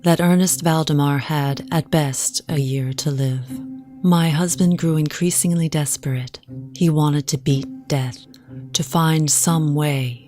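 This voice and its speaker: A young widow confiding her story in a radio play. Her voice is very low for a woman, formal and measured, with music clearly behind it. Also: fairly even intonation, dark tone. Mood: composed, confiding. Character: composed young widow, former jazz singer, telling her story. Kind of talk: audio drama first-person narration.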